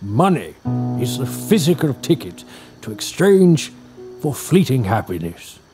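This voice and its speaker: An actor playing an excitable, pompous older scholar in an audio drama, speaking in a theatrical, drawn-out way. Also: male voice, medium pitch, over music. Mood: condescending.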